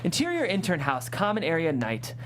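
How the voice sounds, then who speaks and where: A woman's voice: sits low, huge pitch swings, fairly quick, a little hiss or hum. A woman reading stage directions as scripted narration at a comedy table read.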